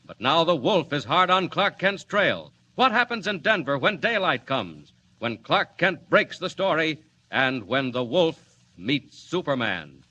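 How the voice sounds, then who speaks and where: A man's voice: a little high, very animated, measured pace, pushed and bright, dull audio. A man announcing a 1940s radio serial in a dramatic, urgent manner. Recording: old 1940s radio recording, faint noise.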